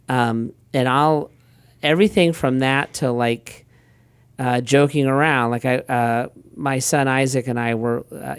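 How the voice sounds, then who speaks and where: Middle-aged man, pastor, smooth resonant voice, dry close sound, easygoing storyteller. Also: mid-range voice, no room sound. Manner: relaxed storyteller, frequent restarts and quoted asides. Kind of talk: casual conversational talk.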